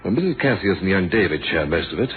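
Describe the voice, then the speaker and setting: An actor playing a measured, dryly thoughtful police inspector in a radio drama, polite and understated in manner. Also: male voice, low-pitched, lively intonation. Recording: dull, hissy old radio recording.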